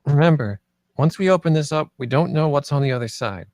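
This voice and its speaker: A man's voice: on the high side, lively intonation, a little fast, a little mellow, no echo, lightly acted. A man at a script table read playing the commanding, heroic leader of a drone team. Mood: focused, commanding.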